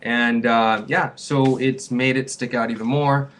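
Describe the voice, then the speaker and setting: A man's voice: medium pitch, fairly even intonation, a little slow, fairly bright tone, a little hiss or hum. A man in his twenties, a small business owner, talking casually and earnestly to camera with a rambling, repetitive flow. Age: 20s. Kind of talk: casual vlog advice to camera.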